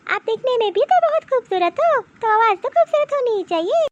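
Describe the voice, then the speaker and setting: Woman doing a teeny squeaky cartoon guy suitor, eager, chummy, buttering you up. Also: very animated, fairly quick, no echo, muffled recording. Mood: eager, flattering. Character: eager, flattering male suitor. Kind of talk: Hindi comedy cartoon dialogue.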